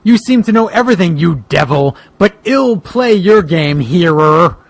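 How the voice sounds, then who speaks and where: A man voicing a determined bogeyman private detective in a comic fan fiction reading, with deliberate delivery. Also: fairly high pitch, lively intonation, creaky, no room sound. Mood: confrontational.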